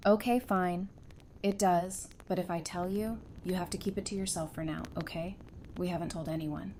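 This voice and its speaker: A woman's voice: fairly even intonation, normal speed, a little mellow, close to an everyday voice. A woman playing a supportive, frank, loyal friend in an audio drama, with a reassuring and candid delivery. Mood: coy. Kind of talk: fan audio drama dialogue. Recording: background noise with low rumble.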